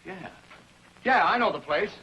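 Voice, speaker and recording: A man's voice: sits high, expressive, a little slow, sharp tone, lo-fi sound, full character voice. An actor playing a nervous, hesitant outlaw henchman in a 1950s TV adventure. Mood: uneasy.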